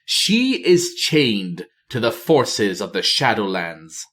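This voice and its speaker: A man's voice: on the low side, sing-song, takes it slowly, fairly bright tone. A man reading a fantasy novel aloud as storyteller, in dramatic audiobook-style narration.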